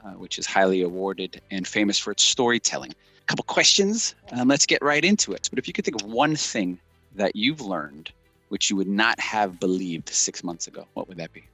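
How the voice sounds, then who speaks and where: A man's voice: fairly low pitch, sing-song, normal speed, bright, forceful tone, no room sound, faint music behind, rough recording. A man hosting a semi-formal interview, introducing his guest and putting questions. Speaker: interviewer, host.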